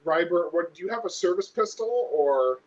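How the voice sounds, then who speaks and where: A man's voice: high-pitched, animated, normal speed, muffled recording. A man playing a tabletop roleplaying game, chatting casually and thinking aloud in a hesitant way.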